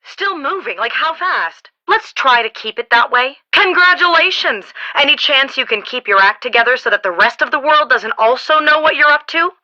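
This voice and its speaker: An actress playing a sharp-tongued, sarcastic storm-chaser rival in a video game, heard over a radio. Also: female voice, sits high, expressive, normal speed, few pauses, dull audio, heavily acted. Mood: scornful. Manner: sarcastic, scornful. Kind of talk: video game character dialogue.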